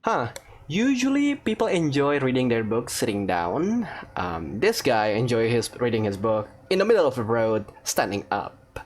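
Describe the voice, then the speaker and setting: Female gaming host: casual, wry, very low register, lively intonation. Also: normal speed. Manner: animated, wry. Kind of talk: casual gameplay commentary with dubbed-along dialogue.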